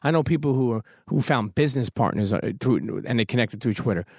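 A man's voice: medium pitch, expressive, fairly quick, gravelly, a little mellow, dry recording, studio quality. A man hosting a podcast, giving an emphatic motivational talk full of casual swearing before welcoming his guest. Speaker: podcast host.

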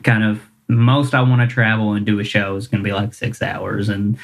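Singer-songwriter guy, kinda low voice, rambly and self-deprecating, chuckles a lot. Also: little pitch movement, moderate pace, creaky. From Kentucky, US. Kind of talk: casual interview chat.

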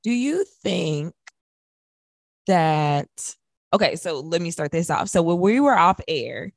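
A woman's voice: lowish voice, very animated, slow, vocal fry, dry recording, studio quality. A woman co-hosting a radio show in casual chat, talking in a conversational, opinionated way.

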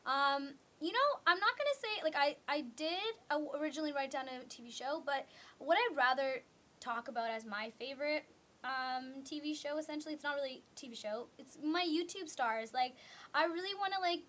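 A woman's voice: high-pitched, expressive, normal speed, pushed and bright. A young woman from Canada co-hosting a casual chat show, talking freely with many fillers and restarts. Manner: chatty, lots of "like" and restarts. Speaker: co-host.

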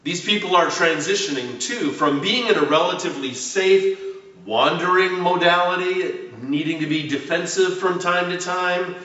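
Male pastor giving a sermon, bright forceful voice, super echoey room, mic far away. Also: sits high. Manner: measured, deliberate phrasing with emphatic stresses and falling line endings. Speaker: pastor preaching a homily. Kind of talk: prepared sermon. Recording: very echoey room, distant mic, slightly dull sound.

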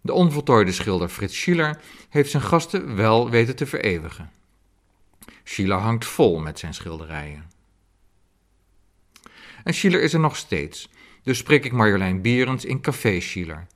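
A man's voice: lowish voice, very animated, measured pace, pauses often. A man, hosting a podcast and narrating a scripted introduction. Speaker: podcast host and interviewer.

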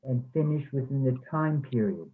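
Male, mid-pitched actor playing formal, defensive academic; stage reading, narrow phone-grade audio. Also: expressive, moderate pace, faint music behind, lightly acted. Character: defensive, haunted former English instructor, later retired. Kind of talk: readers theatre play reading. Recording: thin, phone-like sound.

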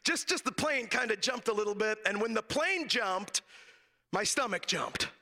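Hoarse, raspy man preaching a story. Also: high-pitched, lively intonation, normal speed, bright, forceful tone. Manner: folksy storytelling, laughs often. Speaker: guest preacher. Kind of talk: sermon with humorous personal story. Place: church sanctuary, from the pulpit. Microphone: handheld microphone.

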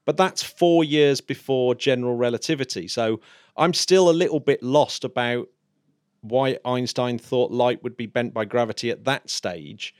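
A man hosting a space podcast in a casual, chatty way, laughing often and throwing in quick asides. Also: medium pitch, no room sound, studio quality. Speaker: podcast host. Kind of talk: casual chat.

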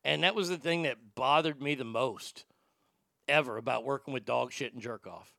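A man's voice: medium pitch, normal speed, gravelly, fairly bright tone, dry recording, clean studio sound. A man in his fifties from Texas, a radio host telling profane, rambling stories in a casual monologue. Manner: profane, rambling storyteller with many restarts.

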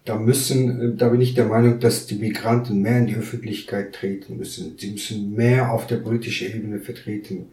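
An earnest man in his thirties, speaking in a fairly low voice and pausing often to search for words. He sits some way back from the mic in a roomy, echoing space. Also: flat delivery, mellow. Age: 30s. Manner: earnest, halting with many fillers. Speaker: podcast guest, council member. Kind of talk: casual interview answers. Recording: roomy echo, mic at a distance.

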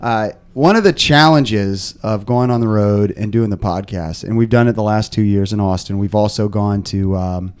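A man hosting a casual podcast, a bit hoarse, rambling with lots of fillers and restarts. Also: on the low side, normal speed, hardly any pauses. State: slightly hoarse. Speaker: podcast host. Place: podcast studio.

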